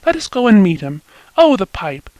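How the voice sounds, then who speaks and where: A man reading a storybook puts on a gentle young daughter with a lifted, lighter voice. She talks earnestly and tenderly, a slight tremble at the edges. The sound is dry and close. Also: sits high, very animated, normal speed, dry recording, pitched above the performer's own voice. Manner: tender, earnest, a little tremulous.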